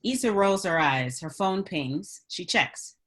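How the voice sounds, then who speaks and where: A woman with a fairly low voice reads screenplay action lines. She works as the narrator, setting each scene in scripted narration. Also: animated, slow pace. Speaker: narrator reading stage directions.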